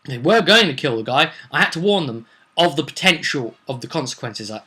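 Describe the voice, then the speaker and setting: A man's voice: on the high side, animated, brisk, sharp tone, husky. A man speaking as a game master, recapping a roleplay session in a casual, rambling, digressive and jokey way. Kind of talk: casual rambling vidcast storytelling.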